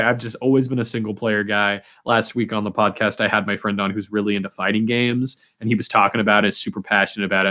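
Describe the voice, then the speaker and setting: A man's voice: medium pitch, fairly bright tone. A man hosting a podcast in a casual, chatty way, agreeable and self-deprecating. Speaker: podcast host.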